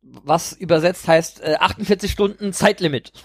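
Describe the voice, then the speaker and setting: A man's voice: high-pitched, unhurried, husky, dry recording. A man co-hosting a casual podcast discussion, rambling with many fillers and laughing at his own jokes. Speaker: podcast co-host.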